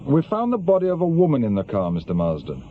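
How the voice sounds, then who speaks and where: Brisk police inspector, lively pitch. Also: male voice. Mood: grave, measured. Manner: curt, probing, authoritative. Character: brisk, commanding male police chief inspector. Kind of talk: naturalistic radio drama acting. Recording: dull lo-fi radio recording, noisy.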